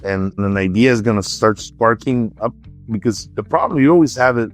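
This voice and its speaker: A man's voice: lowish voice, animated, normal speed, soft background music. A middle-aged man with a Salvadoran accent answering interview questions conversationally, often repeating words as he searches for phrasing. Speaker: interview guest, artist and entrepreneur.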